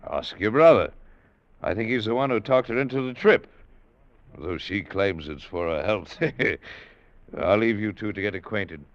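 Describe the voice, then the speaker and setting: A low-voiced, avuncular sea captain. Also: male voice, expressive, measured pace, no echo. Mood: firm, wry. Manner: avuncular, firm. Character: genial, authoritative older sea captain. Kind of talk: mid-20th-century radio drama acting. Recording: dull vintage radio recording.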